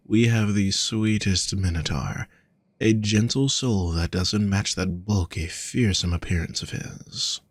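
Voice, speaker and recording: Man voicing a slow, deep, gentle teasing demon. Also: breathy edge, no echo, exaggerated voice. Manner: slow, teasing, genteel endearments. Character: gentle, teasing, courteous male demon companion. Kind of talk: roleplay audio acting addressed to the listener.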